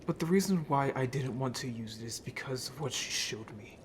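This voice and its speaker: A man's voice: mid-range voice, lively intonation, a little fast, a little hiss or hum, natural voice. A man playing a guilt-ridden, anxious young merman in a fantasy audio drama, speaking hesitantly and emotionally. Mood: pained, resolved. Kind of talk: scripted audio drama acting.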